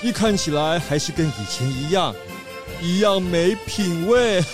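A man's voice: high voice, unhurried, music in the background. A man playing a smug, teasing old friend in a children's audio drama, cocky and mocking.

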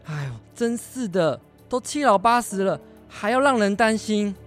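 A man's voice: high voice, lively intonation, normal speed, faint music behind. A man acting a worried, exasperated middle-aged father in a radio drama, with a Taiwanese accent and a fretful, scolding manner.

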